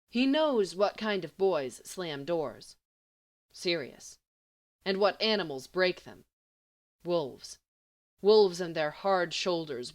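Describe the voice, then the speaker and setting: Lower-pitched woman reading fiction, highly animated. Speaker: volunteer audiobook reader. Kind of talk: expressive scripted story narration.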